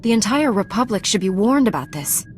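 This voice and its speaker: An actress playing a determined, heroic Jedi Knight in a video game, with a firm delivery. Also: female voice, mid-range voice, animated, a little fast, slight background noise, close to an everyday voice. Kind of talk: scripted video game acting.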